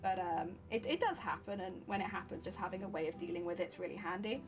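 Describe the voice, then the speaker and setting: A woman's voice: fairly low pitch, fast, over music. A woman chatting casually on a livestream, explaining her sewing steps as she works and laughing often. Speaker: cosplay crafting streamer.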